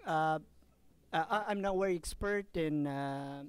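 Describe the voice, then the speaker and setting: A man's voice: fairly high pitch, smooth, dry recording. Hesitant man from Afghanistan commenting. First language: not Arabic; Afghan language. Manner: halting, many "uh" fillers, careful phrasing. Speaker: audience member asking a question. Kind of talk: audience comment at a conference.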